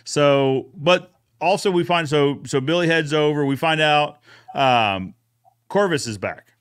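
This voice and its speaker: A man's voice: fairly high pitch, a little slow, fairly bright tone, smooth. A man co-hosting a casual recap, retelling a story in an informal, conversational way. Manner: informal, retells characters' dialogue. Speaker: co-host recapping episode.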